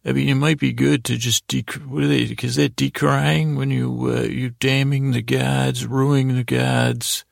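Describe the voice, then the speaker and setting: A man with an extremely gravelly, creaky voice at a middling pitch talks in a very dry, close space. He hosts a bedtime podcast, meandering and halting as he goes. His delivery is self-deprecating and loosely strung together. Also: little pitch movement, dry recording. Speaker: podcast host. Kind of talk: rambling bedtime monologue.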